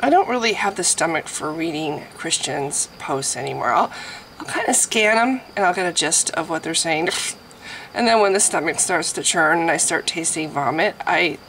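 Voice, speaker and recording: A woman vlogging in a casual, rambling way, chatty and self-deprecating, who keeps clearing a scratchy throat. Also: low-pitched, lively intonation, normal speed, fairly bright tone. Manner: chatty, self-deprecating, frequent laughs and restarts. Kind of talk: casual rambling chat to camera.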